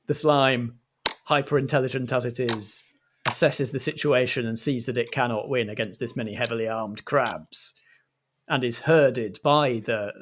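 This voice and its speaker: A man's voice: mid-range voice, background noise. A man narrating a roleplay story dramatically as the game master.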